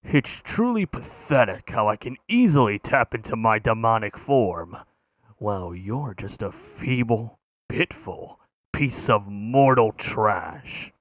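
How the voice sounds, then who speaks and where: A man's voice: on the low side, sing-song, no echo, full character voice. A man voicing an arrogant, cruel martial arts villain with demonic power, gloating with contempt in video game taunts. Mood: menacing, gloating. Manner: contemptuous, slow, deliberate gloating.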